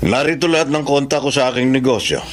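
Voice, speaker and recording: A man's voice: medium pitch, fast, slight background noise, natural voice. A man playing a generous, genial businessman in a Tagalog radio drama, speaking in a familiar way. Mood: grateful, genial. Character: hurried, generous, grateful businessman.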